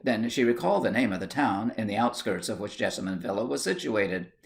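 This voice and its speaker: A man reading a story aloud as scripted literary narration, in an expressive storytelling manner. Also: lowish voice, a little fast, gravelly. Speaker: audiobook reader.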